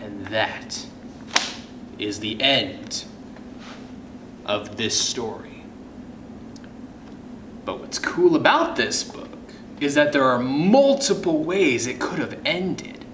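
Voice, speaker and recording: A man reading a children's story aloud as host, with suspenseful pauses and hushed drops in his delivery. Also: medium pitch, expressive. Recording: roomy echo, faint background noise.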